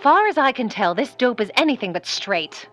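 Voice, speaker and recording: A driven, sassy young starlet played by a woman in a vintage radio play. Her voice is high and swoops dramatically, with theatrical flair on every line. Faint music sits behind it. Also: sing-song, normal speed, fairly bright tone. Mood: wary, sardonic. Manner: theatrical, sassy, darling-dropping.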